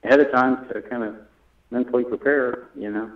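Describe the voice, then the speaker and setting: A man's voice: medium pitch, steady tone, normal speed. A man on a telephone, chatting casually in a hesitant, tentative way and often trailing off, heard over a tinny, echoey line. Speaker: job applicant on a phone call. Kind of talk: casual phone conversation.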